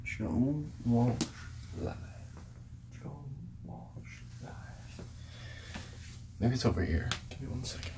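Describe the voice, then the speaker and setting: A man soft-speaking and whispering in an ASMR role-play as a laid-back, friendly record store clerk, repeating phrases in casual sales patter. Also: mid-range voice, lively intonation, slow pace, long pauses, background noise.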